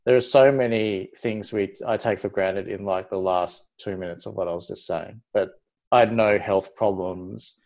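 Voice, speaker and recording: Relaxed Australian man, low voice. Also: normal speed. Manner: relaxed, rambling, restarts and "like" fillers. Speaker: guest, ophthalmology registrar. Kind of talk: casual interview chat.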